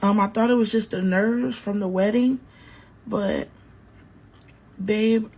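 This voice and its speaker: A weak bride-to-be in a relationship drama, as a woman storyteller plays her. She is apologetic and hesitant. Her voice has a husky edge. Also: mid-range voice, fairly even intonation, slow pace, warm tone. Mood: anxious, weak.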